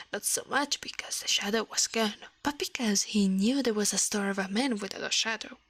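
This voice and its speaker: A woman with an Italian accent speaking softly in an ASMR livestream, often whispering, close to the microphone. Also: mid-range voice, no room sound. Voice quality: soft, breathy, often whispered. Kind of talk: ASMR, soft-spoken and whispered storytelling and chat.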